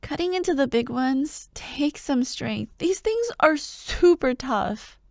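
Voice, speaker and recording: A woman playing an excitable, teasing young childhood friend who loves Halloween, in an ASMR roleplay addressed to the listener. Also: high voice, takes it slowly, creaky, full character voice. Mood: playful. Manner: playful, teasing, animated, with frequent giggles and mock drama.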